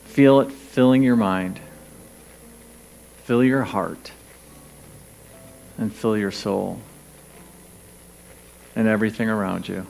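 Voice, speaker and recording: A man leading a guided meditation, speaking gently and soothingly in short, spaced phrases with pauses. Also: lowish voice, steady tone, gravelly, slight background noise, poor audio. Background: background music.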